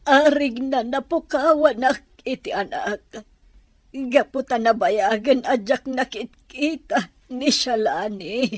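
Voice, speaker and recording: Woman acting a slow, plaintive, worried mother. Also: on the high side, no room sound. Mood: grieving. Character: worried, grieving mother of a missing daughter. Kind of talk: Ilocano audio drama acting.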